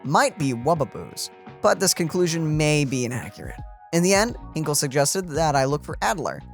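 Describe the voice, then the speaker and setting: Lively let's-play streamer, faint music behind. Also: mid-range voice, normal speed, vocal fry. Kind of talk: reading game text aloud, casual commentary. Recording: game music in background.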